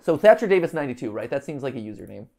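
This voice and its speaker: Creaky-voiced man thinking aloud. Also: medium pitch, big pitch swings, very fast, warm tone. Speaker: livestream host. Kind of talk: casual live puzzle-solving commentary, thinking aloud. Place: indoor room, livestream set.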